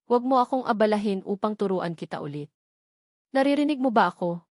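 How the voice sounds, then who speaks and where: A woman's voice: mid-range voice, expressive, brisk, a little mellow, no echo, clean studio sound, natural voice. A woman with a Filipino accent voicing a stern old male sword master in an audiobook, blunt and commanding. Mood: stern, impatient.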